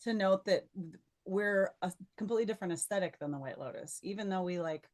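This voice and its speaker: A woman talks on a casual podcast in a mid-pitched voice. She is playful and animated, joking along with her co-hosts as she goes. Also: fairly quick. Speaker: podcast co-host, voice actor.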